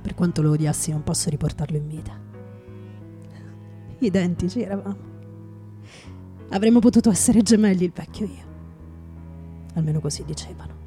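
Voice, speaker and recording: A woman playing a hard-drinking male poet, bitter and sardonic, in a halting theatrical radio monologue that drops into whispers. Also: lowish voice, dark tone, close to an everyday voice. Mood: bitter, wry. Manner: halting, dramatic, repeats words, drops into whispers. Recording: music behind the voice.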